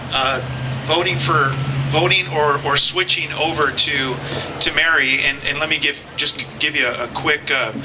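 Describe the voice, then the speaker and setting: Halting middle-aged man at a rally. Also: a little high. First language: Spanish. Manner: halting, many fillers and repeated words. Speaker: law enforcement officer speaking at a rally. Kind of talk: public speech at a political rally. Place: outdoor campaign rally. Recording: outdoor rally with clear background noise.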